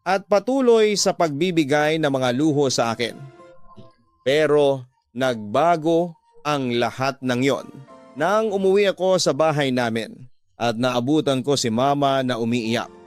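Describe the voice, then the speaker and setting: A man's voice: mid-range voice, unhurried, clear, resonant tone. A man with a Filipino accent giving scripted first-person narration for a radio drama. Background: background music.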